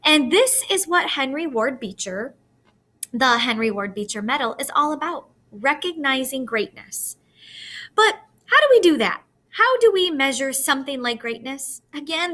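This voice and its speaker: A woman voicing a dignified male school principal giving an earnest, measured ceremonial speech in a storybook reading. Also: high voice, sharp tone, pitched above the performer's own voice. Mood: questioning.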